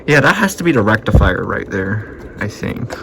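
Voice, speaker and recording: A man's voice: fairly low pitch, very animated, normal speed, fairly bright tone. A man thinking aloud in casual, sweary repair commentary, muttering and musing to himself as he works on a noisy home video. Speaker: hobbyist radio repairer filming his work.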